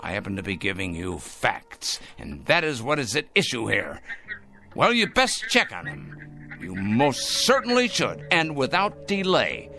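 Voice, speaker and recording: A man's voice: medium pitch, huge pitch swings, unhurried, bright, forceful tone, soft background music, exaggerated voice. An actor in a radio drama playing a fussy, self-righteous male fanatic who speaks in a prim, formal, emphatic way. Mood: accusing, insistent.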